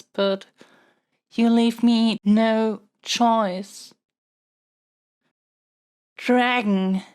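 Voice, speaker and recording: Pampered young royal heir, female performer, fantasy audio drama. Very unhurried delivery, mid-pitched, wordy and proper, earnest haughtiness with a flustered, pleading edge. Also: darkish tone. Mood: reluctant threatening. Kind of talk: scripted solo audio roleplay.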